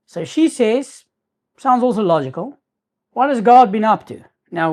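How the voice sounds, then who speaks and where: Man telling a rambling story. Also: on the high side. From South Africa. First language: Afrikaans. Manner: rambling, restarts, sprinkles in Hebrew terms. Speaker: YouTuber. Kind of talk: casual storytelling to camera.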